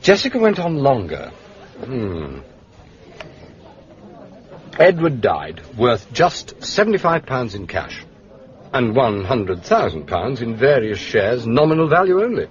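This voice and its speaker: An actor playing an urbane, shrewd gentleman detective in a British radio drama, with an upper-class English accent and polished, drily understated manner. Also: medium pitch, wildly swooping pitch, a little slow, pauses often. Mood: dryly curious. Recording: muffled, dull radio drama recording.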